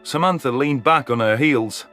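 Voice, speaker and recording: A man's voice: mid-range voice, moderate pace, fairly bright tone. Guy narrating a sci-fi audio story, scripted first person, music underneath.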